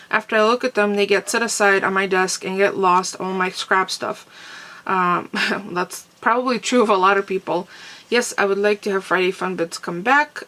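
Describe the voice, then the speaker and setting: A woman's voice: mid-range voice, fairly bright tone. Stuffed-up woman chatting at her craft desk. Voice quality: husky, fading voice. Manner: chatty, rambling, clears throat often. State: stuffy, congested voice. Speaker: crafting vlogger answering viewer comments. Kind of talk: casual chat to camera. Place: home craft room desk. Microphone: camera on a tripod nearby.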